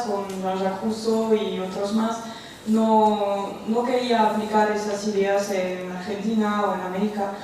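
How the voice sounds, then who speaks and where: A woman panelist answers a question in a measured, explanatory way. Her voice stays flat and even. She is heard at a distance in a hall with very heavy echo. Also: medium pitch, a little hiss or hum. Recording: distant, very echoey lecture hall.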